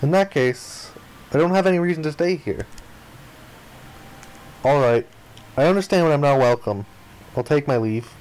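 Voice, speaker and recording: A man's voice: a little high, moderate pace, pauses often, poor audio. A man reading a visual novel's narration and dialogue aloud as a playthrough host, expressively acting out the lines. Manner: expressive, acts out the protagonist's lines.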